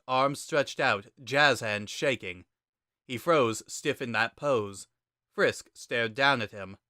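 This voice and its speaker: A man giving a measured, dramatic narration of a scripted story. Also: mid-range voice, pushed and bright, dry recording, studio quality.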